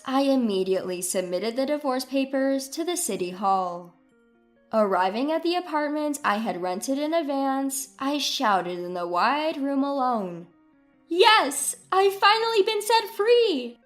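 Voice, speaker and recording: A woman reading a scripted first-person story in a dramatic, expressive way. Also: sits high. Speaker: story narrator.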